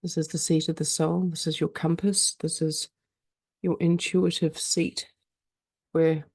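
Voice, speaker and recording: A woman leading a guided meditation in a calm, soothing way, speaking slowly with frequent pauses. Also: deep voice, husky, no echo.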